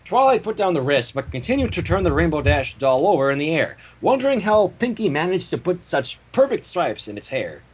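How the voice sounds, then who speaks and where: Husky, expressive male story reader. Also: on the high side, brisk, hardly any pauses. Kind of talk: expressive fan fiction narration with casual asides.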